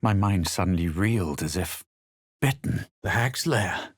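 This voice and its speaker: An actor playing a proud, self-assured adventurer in a fantasy game, with measured, theatrical delivery and a husky voice. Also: male voice, low-pitched, expressive, dry recording, studio quality, heavily acted. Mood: brooding, grave. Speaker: player character voice actor. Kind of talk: dramatic scripted fantasy game lines.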